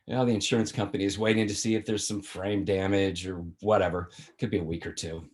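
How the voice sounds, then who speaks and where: A man's voice: low-pitched, flat delivery, fast talker, darkish tone, natural voice. An older man playing a flippant, much-married sitcom father who needles his grown son in a conversational, teasing way over a video call. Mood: casual. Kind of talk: sitcom table read.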